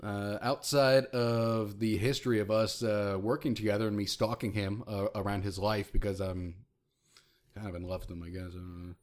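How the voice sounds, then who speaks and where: A man in his thirties with a deep voice, co-hosting a podcast in casual, self-mocking chat with many fillers. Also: level tone, moderate pace. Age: 30s. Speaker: podcast co-host.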